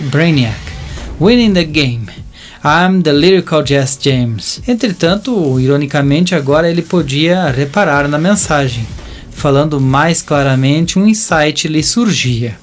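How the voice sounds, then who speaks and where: A Brazilian man narrating a scripted audio drama in a theatrical way, stretching out some phrases. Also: a little high.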